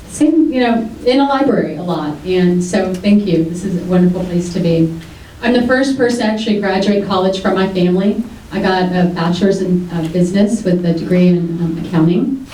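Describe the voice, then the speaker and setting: Candidate, woman, grew up speaking Greek, mellow and personal, heard from a distance in a roomy space. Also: on the low side, normal speed, a little hiss or hum. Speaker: city commission candidate. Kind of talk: debate opening statement. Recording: echoey room, distant mic.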